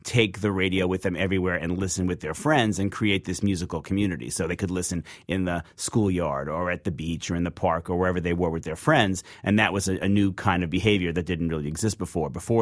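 A man's voice: low voice, fairly even intonation, fast, few pauses, no room sound, studio quality. A man with an American accent, an interview guest explaining things in a conversational, storytelling way. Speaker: interview guest, music record label founder.

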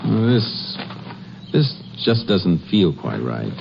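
An actor playing a calm, shrewd Texas Ranger in 1950s radio drama, speaking in terse, deliberate lines. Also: male voice, low-pitched, gravelly, exaggerated voice. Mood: pensive. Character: Texas Ranger, a calm, shrewd, methodical lawman who narrates. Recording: dull, noisy old radio recording.

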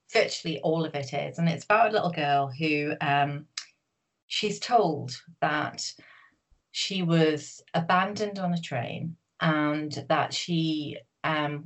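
Woman, author guest, low voice, rambling with fillers, casual interview. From Newcastle, England. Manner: rambling, many fillers and restarts.

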